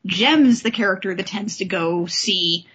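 A woman's voice: medium pitch, unhurried, fairly bright tone, muffled recording, a little hiss or hum. A woman co-hosting a podcast, chatting casually and enthusiastically about comics. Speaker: podcast host. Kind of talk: casual comic book discussion.

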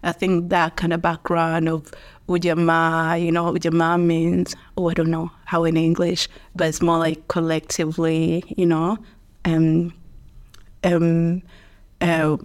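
Woman in her thirties, Swahili-first speaker from Tanzania, interview guest, slightly low voice, relaxed filler-heavy chat. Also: a little slow. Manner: many fillers like "you know" and "yeah".

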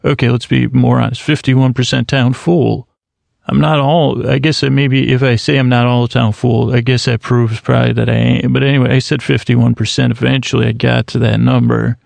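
A man in his thirties drifts through a rambling bedtime monologue with a heavily gravelly, fry-laden voice. His delivery stays flat and unvaried, full of restarts and fillers, close on a dry mic. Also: mid-range voice, no room sound. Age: 30s. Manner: slow, meandering, halting with many restarts and fillers. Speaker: sleep podcast host.

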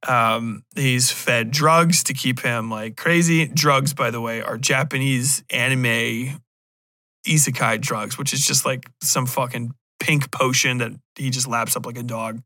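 A man's voice: medium pitch, fairly even intonation, a little slow, sharp tone, dry recording. A man hosting a podcast, giving a casual, rambling review in a profane, sardonic, incredulous manner. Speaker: podcast host.

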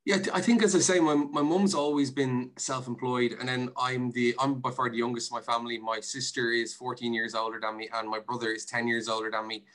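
Irish male podcast guest, bright forward voice, level intonation, reflective and measured. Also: mid-range voice, fairly even intonation, poor audio. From Ireland. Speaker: podcast guest, investment and finance director. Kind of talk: conversational interview answers.

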